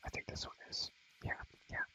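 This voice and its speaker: A man's voice: moderate pace, pushed and bright, poor audio. A man whispering breathily in a casual ASMR style as he shows a game, rambling and repeating phrases over and over. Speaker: ASMR content creator.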